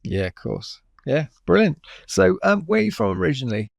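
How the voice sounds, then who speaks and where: Gravelly-voiced man hosting a chat. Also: high voice, big pitch swings, fairly quick, dry recording, clean studio sound. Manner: friendly, says brilliant and bonkers. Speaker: podcast host. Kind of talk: casual interview chat.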